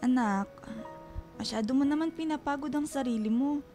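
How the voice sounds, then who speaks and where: Woman acting ailing middle-aged mother, highish voice, caring, fretful, gently stubborn, radio drama, music behind. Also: normal speed, natural voice. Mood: apologetic, reassuring. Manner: tender, fretful, reassuring. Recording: music bed under dialogue. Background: background music.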